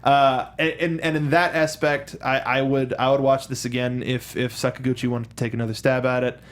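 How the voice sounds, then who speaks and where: An American man hosts a film talk show from a studio. His delivery is casual and conversational, with frequent repeated words and halting restarts as he works through each thought. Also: mid-range voice, level tone. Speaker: host of a film review show. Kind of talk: casual film discussion chat. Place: studio with a control booth.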